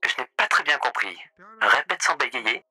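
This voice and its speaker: A man's voice: mid-range voice, animated, moderate pace, pushed and bright, soft background music. A man voicing a suave, theatrical male demon who runs a hotel, courtly and mocking in a voice roleplay. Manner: grandiloquent, courtly and sardonic.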